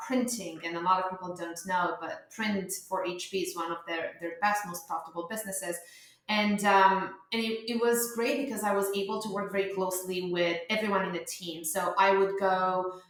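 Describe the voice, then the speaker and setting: A woman's voice: medium pitch, steady tone, moderate pace, a bit bright. A woman from Romania in her thirties, a startup founder answering interview questions in a chatty way with many fillers and restarts. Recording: very echoey room.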